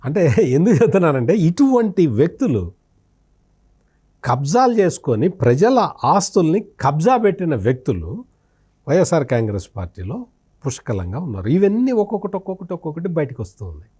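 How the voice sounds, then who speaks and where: A man's voice: on the high side, sing-song, fairly quick, dark tone, breathy edge. A man giving political analysis as a journalist, explanatory and emphatic, posing and answering his own questions and repeating phrases. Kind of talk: spoken political analysis in an interview.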